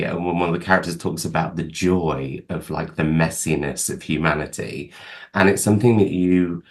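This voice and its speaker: A man's voice: low voice, a little mellow. A man hosting a book channel who interviews an author he admires over a video call, asking long, gushing questions.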